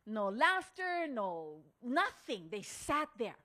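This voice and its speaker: Earnest woman, higher-pitched voice. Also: big pitch swings, sharp tone. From Hawaii. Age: middle-aged or older. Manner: earnest, deliberate, dramatic pauses and emphasis. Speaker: speaker at a women's conference. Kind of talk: motivational storytelling speech to an audience.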